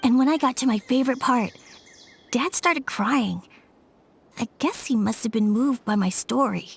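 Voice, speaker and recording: A woman voicing a shy, earnest young boy who speaks softly and hesitantly in a game. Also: on the high side, normal speed, gravelly, breathy edge. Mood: wistful. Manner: soft, hesitant storytelling. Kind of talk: game voice acting.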